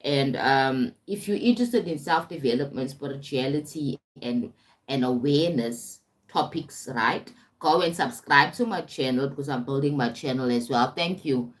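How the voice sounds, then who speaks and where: A woman's voice: very deep voice, moderate pace. A woman with a South African accent giving an informal motivational livestream talk, emphatic, repeating phrases and often checking in with the listener. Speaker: life coach and motivational speaker livestreaming.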